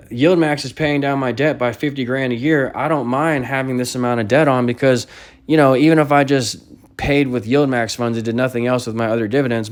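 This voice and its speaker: A middle-aged man hosting a personal finance video, explaining casually and often checking in with a questioning tag. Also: medium pitch. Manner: repetitive, seeks agreement with frequent "okay?" and "right?". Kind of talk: casual explanatory monologue over screen recording.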